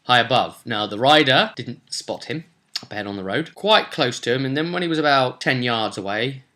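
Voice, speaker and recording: A man's voice: medium pitch, animated, normal speed, sharp tone. A man recapping a tabletop roleplay session as game master, telling it in a rambling, casual way with bits of acted dialogue.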